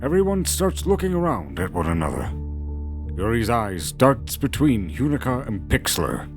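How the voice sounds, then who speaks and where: A man's voice: mid-range voice, big pitch swings, moderate pace. A man narrating an audio drama in a scripted, dramatic storytelling style. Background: music.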